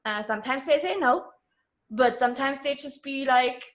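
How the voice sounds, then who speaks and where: Higher-voiced woman from Germany, chatting candidly. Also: normal speed. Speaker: interview guest. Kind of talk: casual interview chat.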